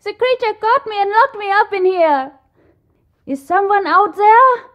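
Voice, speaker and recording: Scared captive for a game: extremely high, slow, drawn-out plaintive cries for rescue. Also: female voice, lively intonation, a bit bright, heavily acted. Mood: frightened, pleading. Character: frightened, pleading captive calling for rescue. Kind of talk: video game character dialogue.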